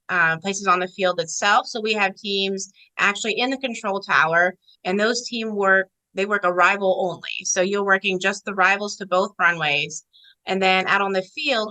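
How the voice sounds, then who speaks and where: Woman, interview guest, bright forceful voice, mid pitch, informal conversational explaining. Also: rough recording. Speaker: air traffic controller, interview guest. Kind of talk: conversational interview answers, explaining her job.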